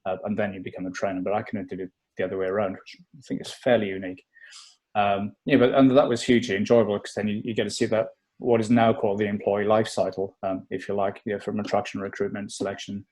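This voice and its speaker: A man's voice: fairly low pitch, little pitch movement, fast, a little mellow. A middle-aged man from South Wales with a South Welsh accent, chatting as a podcast guest, self-deprecating and full of fillers. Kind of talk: casual interview chat.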